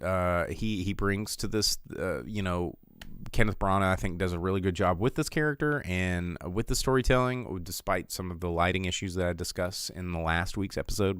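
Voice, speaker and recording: An American man with a fairly low, clean and resonant voice hosts a solo show in a very dry recording. He rambles casually through rankings and commentary. His delivery is irreverent and sardonic. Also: a bit bright, clear, resonant tone, no echo. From United States. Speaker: movie podcast host.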